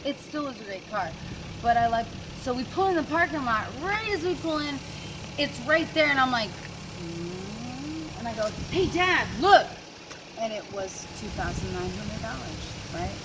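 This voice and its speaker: A woman casually telling a story in an animated way, acting out dialogue, swearing and laughing as she talks. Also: on the high side, normal speed. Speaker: guest telling a personal story. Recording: noisy car, engine and road noise.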